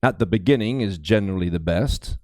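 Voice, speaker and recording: Guy doing a gruff ex-knight detective, medieval audiobook, kinda low and mellow, short impatient replies. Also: expressive, a little fast, no room sound, clean studio sound. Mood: curt, dry. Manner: curt, growling, impatient. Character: gruff, cynical male medieval investigator, disgraced knight.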